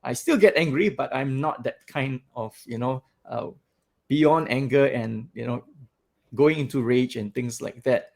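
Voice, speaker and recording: Gravelly-voiced male podcast host. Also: mid-range voice, a little slow. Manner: loud, animated, laughs often. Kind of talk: casual interview chat.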